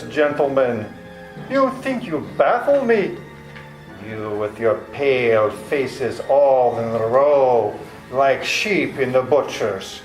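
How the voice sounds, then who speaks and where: Brief: male actor as a sneering vampire count, slow and whispery, roomy live stage sound. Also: on the high side, a little mellow. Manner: slow, whispery, drawn-out threats. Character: menacing vampire count, old and mocking. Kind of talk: live amateur radio play. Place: public library before an audience. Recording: roomy hall with music behind.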